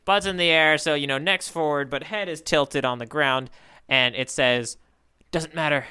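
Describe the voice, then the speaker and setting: A man's voice: on the high side, moderate pace, sharp tone, clean tone. A man co-hosting a podcast in casual comedic chat, with a playful, mock-grand way of announcing things. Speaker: podcast co-host.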